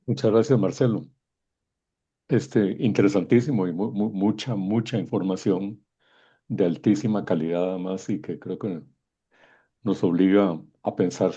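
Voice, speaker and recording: Husky, dark-voiced older man, muffled sound. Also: sits low, fairly even intonation, a little slow. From Guatemala. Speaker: event moderator. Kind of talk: informal spoken commentary. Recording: dull, muffled lo-fi connection.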